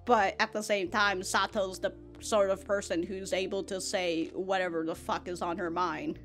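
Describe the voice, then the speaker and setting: A woman's voice: medium pitch, flat delivery, normal speed, fairly bright tone, soft background music. A young woman in her twenties hosting an anime reaction video, chatting casually, rambling with frequent laughs and swearing, close to a studio mic. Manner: rambling, laughs often, swears, repeats words. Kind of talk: casual review chat. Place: small room with anime backdrop. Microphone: large foam-covered studio mic in front.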